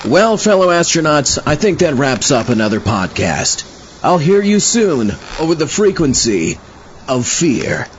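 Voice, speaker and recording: Animated man hosting a comic horror podcast. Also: fairly high pitch, measured pace, slight background noise. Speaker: podcast host. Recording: muffled, loud music behind.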